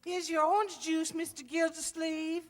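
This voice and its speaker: An actress playing a sassy, no-nonsense cook with folksy speech in a radio comedy. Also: female voice, very high voice, little pitch movement, moderate pace. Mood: dutiful. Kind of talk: old-time radio comedy acting. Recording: echoey hall stage recording.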